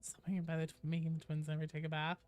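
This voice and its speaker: A woman's voice: low-pitched, level tone, racing, a little mellow. A woman hosting a casual game livestream, chatting informally and explaining things at length as she talks directly to viewers. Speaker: video game streamer, host.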